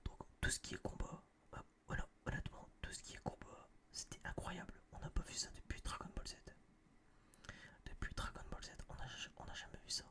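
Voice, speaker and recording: A young man whispering a casual, opinionated ASMR review in a breathy voice, rambling and slangy, close to a binaural microphone. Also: fairly quick, pushed and bright. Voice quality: breathy, mostly unvoiced whisper. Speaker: host of an ASMR film review video.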